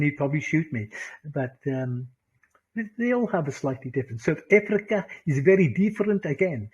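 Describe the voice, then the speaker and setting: A Welsh man with a fairly high, husky voice leads an online English lesson. He is animated, laughs often and drives points home by repeating them. The audio is dull and muffled, like a video call. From Wales. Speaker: English teacher. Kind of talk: online English class talk.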